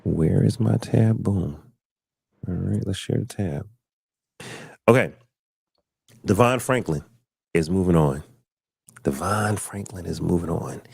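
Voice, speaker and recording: Laid-back low-voiced male podcast host. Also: slow pace, vocal fry, pauses often, darkish tone, breathy edge, dry recording, clean studio sound. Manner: laid-back, laughs often, repeats himself. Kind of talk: casual podcast chat.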